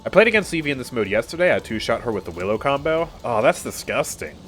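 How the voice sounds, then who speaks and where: A male streamer talking through his gameplay at home, his voice a bit stuffy and nasal from a cold. He is chatty and quick to laugh, and his pitch bounces up and down a lot as he reacts. His voice sits at an ordinary middle pitch. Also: very animated, fairly bright tone, soft background music. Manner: chatty, upbeat, laughs often, reacts aloud to play. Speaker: game streamer. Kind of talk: casual live gameplay commentary. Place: his own room at home.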